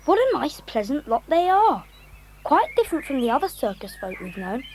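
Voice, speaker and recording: A woman's voice: high-pitched, big pitch swings, normal speed, a little mellow, a little hiss or hum. A woman voicing a sensible, wry schoolboy in a children's audio drama. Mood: dry, puzzled.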